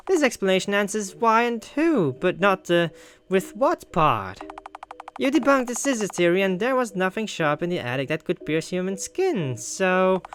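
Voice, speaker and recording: A man's voice: sits high, fairly bright tone. Man voicing mastermind killer, mocking, theatrical, commanding, mystery game villain, noisy background.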